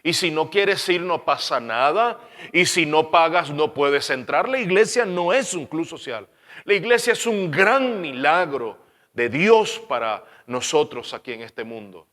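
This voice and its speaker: A man from Venezuela with a Caracas accent preaching a sermon as pastor, earnest, repeating phrases and letting line ends fall quiet. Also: on the high side, expressive, a little slow, in a large hall.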